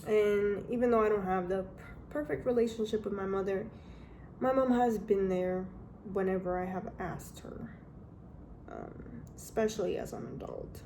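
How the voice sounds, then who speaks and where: A young woman from Honduras frankly telling her life story in a halting, candid way. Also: medium pitch, warm tone, slight background noise, low-quality recording. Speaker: vlogger. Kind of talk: personal storytelling.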